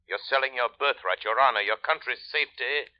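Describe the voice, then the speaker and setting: An actor playing a mysterious crime-fighting man in an old radio drama, speaking calmly and with command. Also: medium pitch, close to an everyday voice. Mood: menacing. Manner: calm, measured, commanding. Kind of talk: 1940s radio acting. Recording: dull old radio broadcast.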